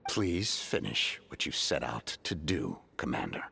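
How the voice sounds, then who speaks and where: Cold game villain, a soldier's returned spirit: low and gravelly, deliberate, taunting. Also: male voice, very animated, pushed and bright, slight background noise, heavily acted. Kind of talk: dramatic video game acting.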